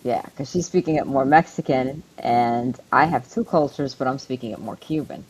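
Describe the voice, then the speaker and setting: A woman's voice: a bass voice, normal speed, darkish tone, breathy edge, low-quality recording. A woman with a New Jersey accent hosting a podcast in casual group chat. She rambles and laughs often as she talks. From US East Coast. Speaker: podcast host.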